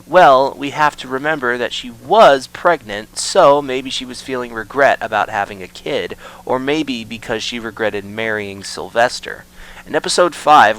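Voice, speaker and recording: Male video essay narrator, average pitch, scripted storytelling delivery. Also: fairly bright tone, low-quality recording. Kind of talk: scripted explainer narration of a horror series.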